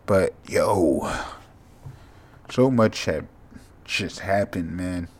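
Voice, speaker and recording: A sleepy young man with a monotone, mumbly voice, hosting a rambling, profane solo podcast. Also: low voice, drawn-out words. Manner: casual, profane, drifting from thought to thought. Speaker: podcast host.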